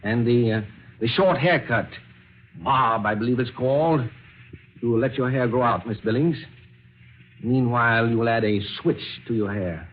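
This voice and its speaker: A man's voice: medium pitch, moderate pace, natural voice. An actor playing a courteous, fussy elderly businessman with formal, hesitant, old-fashioned speech in a 1940s radio drama. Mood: prim, instructing. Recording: muffled, noisy old radio recording.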